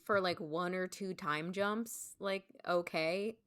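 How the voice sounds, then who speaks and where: A woman's voice: lowish voice, slow, fairly bright tone, smooth, no echo, studio quality. A woman chatting casually and informally as a guest on a podcast. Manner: informal, conversational. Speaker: podcast guest, stage performer. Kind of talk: casual chat.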